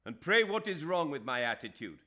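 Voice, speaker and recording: A man's voice: on the low side, wildly swooping pitch, takes it slowly, a bit bright, pitched above the performer's own voice, heavily acted. A man voicing a superior Englishman who speaks in a scathing, disbelieving way in an audiobook. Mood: incredulous. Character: scornful Englishman, cool and superior.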